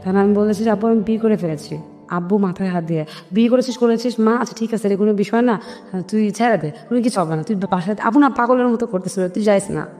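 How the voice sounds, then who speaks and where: A Bangladeshi woman recounts her own story in an interview, voicing the dialogue as she goes. Her speech is quick and mid-pitched, with some English mixed in. The recording has a long hall-like reverb with music underneath. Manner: animated retelling with quoted family dialogue, English words mixed in. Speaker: guest telling her life story.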